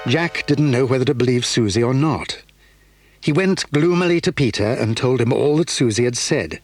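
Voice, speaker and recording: A man's voice: medium pitch, moderate pace, soft background music. A man reading scripted narration for a children's story as the narrator.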